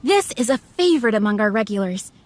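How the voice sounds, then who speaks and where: A woman's voice: fairly high pitch, expressive, fast talker, darkish tone, slight background noise, close to an everyday voice. A woman voicing a polite inn server. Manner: polite, attentive, descriptive sales patter. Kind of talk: video game voice acting.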